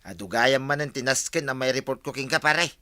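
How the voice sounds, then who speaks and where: A man's voice: medium pitch, brisk, bright, forceful tone, close to an everyday voice. A man with an Ilocano accent playing an eager, tattling village assistant in a radio comedy drama. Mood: urgent, insistent.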